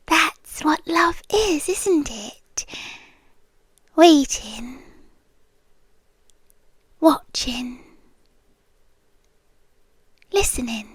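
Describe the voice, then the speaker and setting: A woman with a British accent voicing a possessive, doting vampire girlfriend in intimate ASMR roleplay, breathy and often whispering close to the microphone. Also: sits high, lively intonation, no echo, full character voice. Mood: adoring, obsessive. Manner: slow, lingering, teasing menace. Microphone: close microphone, dry.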